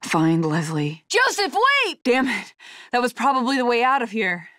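A woman's voice: fairly high pitch, sing-song, normal speed, fairly bright tone, dry recording, clean studio sound. A young woman voicing a guarded, determined female agent in a horror video game, serious and terse. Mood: alarmed, urgent.

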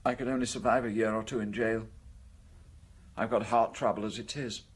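An actor in a radio drama playing a wretched middle-aged suspect, stammering, evasive and full of self-pity. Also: male voice, on the low side, steady tone, a little fast, a little hiss or hum, lightly acted. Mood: defeated.

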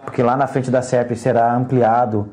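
A middle-aged man answers as an interviewed official. His medium-pitched voice is dark and relaxed, and he often tags his phrases with a short checking question. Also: normal speed. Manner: frequent "né" tags. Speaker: traffic agency official being interviewed. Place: indoors at radio station.

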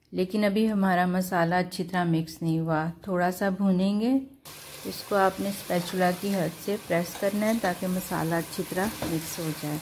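A woman with an Urdu-influenced Hindustani accent calmly explains a home cooking recipe step by step to viewers. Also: lowish voice, slight background noise. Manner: calm, explanatory, addresses viewers directly. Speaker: home cooking channel host. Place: home kitchen at the stove.

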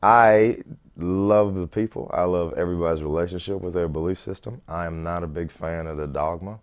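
A man in his thirties with a deep voice, a comedian chatting in an interview in a small studio, easygoing and laughing often, restarting words as he thinks. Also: fairly even intonation, moderate pace, clear, resonant tone, muffled recording. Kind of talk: relaxed interview chat. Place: small indoor studio set.

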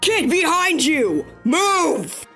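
A woman's voice: very high voice, very animated, extremely slow, a bit bright, soft background music, full character voice. A woman playing a fierce monster warrior in a dramatic comic dub, intense and heroic. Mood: urgent, furious. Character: fierce, gruff female monster warrior, wounded but defiant.

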